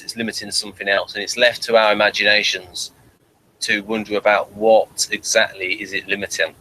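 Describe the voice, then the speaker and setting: A man's voice: lowish voice, bright, forceful tone, a little hiss or hum, low-quality recording. A man co-hosting a review show in casual discussion, hesitant and repeating words as he thinks aloud.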